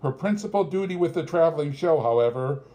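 Mellow man narrating a literary audiobook. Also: fairly high pitch, animated, brisk.

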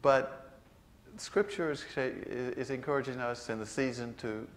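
An older man, a priest, delivering a sermon in a large church, slowing down to stress key phrases. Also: mid-range voice, a little hiss or hum. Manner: unhurried, earnest preaching with slowed emphatic phrases.

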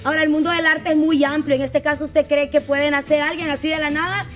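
A woman's voice: sits high, flat delivery, fast talker, faint music behind. A woman hosting a live television interview, asking questions and addressing viewers as presenter. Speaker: TV host, interviewer. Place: TV studio set with sofas.

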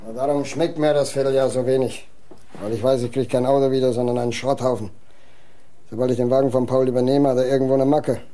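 An actor playing a plain-spoken, resigned truck driver grumbling colloquially in a radio play, with a gravelly voice. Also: male voice, mid-range voice, little pitch movement, quick pace, warm tone, lightly acted. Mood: resigned, skeptical. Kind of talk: German radio drama acting.

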